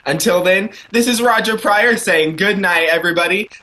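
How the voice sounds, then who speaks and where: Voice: mid-range voice, normal speed, pushed and bright, natural voice. A performer playing a genial male host of a 1940s radio theater, announcing formally. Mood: polished, upbeat.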